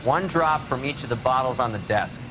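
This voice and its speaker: An actor playing a helpful, frank police forensics colleague in a video game, talking in a matter-of-fact, conversational way. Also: male voice, medium pitch, expressive, moderate pace, noisy background, natural voice. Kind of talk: cinematic game dialogue.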